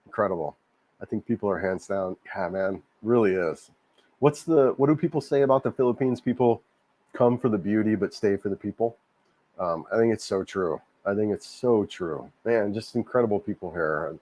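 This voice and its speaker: Slightly hoarse man chatting on a livestream. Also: lowish voice, darkish tone. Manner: rambling, repeats himself, frequent restarts. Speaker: livestream host. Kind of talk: casual livestream chat reading viewer comments. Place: home in Cebu, Philippines.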